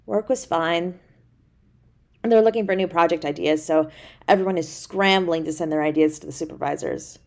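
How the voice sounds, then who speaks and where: A woman's voice: fairly low pitch, creaky, darkish tone. A woman playing a tender, easily flustered young girlfriend in an intimate audio roleplay, speaking in a hesitant, stammering way. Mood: unsure, confiding. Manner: hesitant, stammering, halting delivery.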